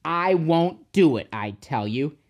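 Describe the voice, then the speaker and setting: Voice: medium pitch, expressive, slow, pitched above the performer's own voice. A storybook narrator voicing a pompous, haughty, grumbling old male engine. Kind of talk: children's storybook character voice.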